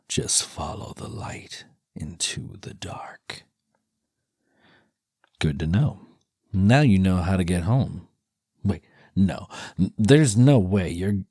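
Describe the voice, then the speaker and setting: Very deep, husky man narrating in hushed ASMR. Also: dry recording, studio quality. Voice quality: husky, breathy, often near whisper. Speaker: audio storyteller, ASMR performer. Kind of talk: ASMR second-person scripted narration. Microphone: close dry microphone.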